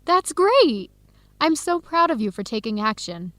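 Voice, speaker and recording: A woman playing a determined young American woman in an English-learning radio drama, speaking in clear, simple scripted sentences with an American accent. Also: sits high, sing-song, measured pace, fairly bright tone, no echo, heavily acted. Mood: supportive, interested. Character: Helen, determined young American woman searching for birth family.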